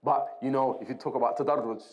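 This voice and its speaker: A man's voice: mid-range voice, dull audio. Man teaching an informal religious lesson. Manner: conversational, repeats key phrases, checks in with short tag questions. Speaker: religious teacher reading and explaining a book. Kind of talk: informal Islamic lesson, explaining point by point.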